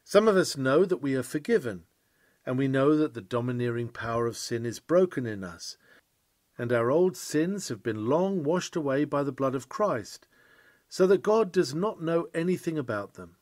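A man's voice: mid-range voice, animated, normal speed, darkish tone, dry recording. A man reading an old-fashioned sermon in an earnest, emphatic preaching style. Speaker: reader of a historical sermon.

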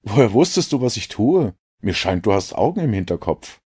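A man's voice: fairly low pitch, sing-song, normal speed, darkish tone, breathy edge, pitched above the performer's own voice. A man voicing an eager, self-satisfied detective's companion in an audiobook, earnest and a little smug. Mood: surprised.

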